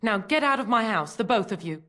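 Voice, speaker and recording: An actress playing a bitter, grasping, poor mother of five in a fantasy video game, scornful and sharp-tongued, with rough uneducated grammar. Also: medium pitch, lively intonation, fairly quick. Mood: angry, demanding.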